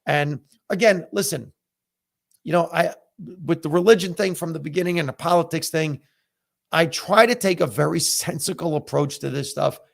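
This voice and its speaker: A man's voice: a little high, normal speed, vocal fry. A middle-aged man whose first language is Italian, hosting a live podcast and giving candid opinions in a casual, conversational way with plenty of fillers. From United States. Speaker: comedian and podcast host. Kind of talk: casual live-stream chat.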